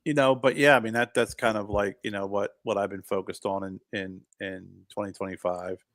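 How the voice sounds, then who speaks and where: A man's voice: on the low side, steady tone, fairly quick, a bit bright, dry recording, clean studio sound. A man in his fifties co-hosting a podcast, talking in a reflective, rambling way with many restarts. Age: 50s. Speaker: podcast co-host. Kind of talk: casual chat.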